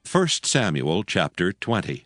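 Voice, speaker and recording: A man's voice: low voice, very animated, a little slow, fairly bright tone, no echo. A man narrating scripture as a solemn storyteller, reading from a script. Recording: studio narration over music bed. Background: background music.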